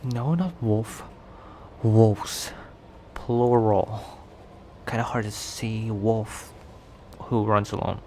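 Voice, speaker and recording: Man acting as a young male werewolf, lowish voice, cocky, teasing, protective, intimate ASMR roleplay, noisy ambient background. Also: fairly even intonation, warm tone. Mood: cautioning, earnest. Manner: teasing, breathy sighs and chuckles, halting conversational delivery.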